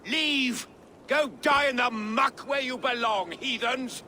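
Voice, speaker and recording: A man's voice: extremely high pitch, slow, bright, forceful tone, slight background noise, full character voice. A man playing an arrogant, mocking noble-born villain lord in a dramatic video game. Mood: scornful. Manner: haughty, mocking.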